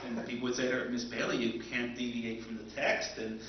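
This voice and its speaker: A man moderating an informal live interview, speaking in a halting, hesitant way. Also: mid-range voice, little pitch movement. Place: convention seminar room. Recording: distant, echoey hall, dull, hiss.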